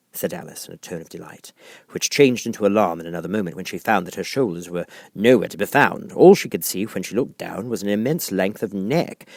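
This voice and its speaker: A man reading as an audiobook narrator, in an expressive scripted storybook style. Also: fairly low pitch, vocal fry, no room sound, studio quality.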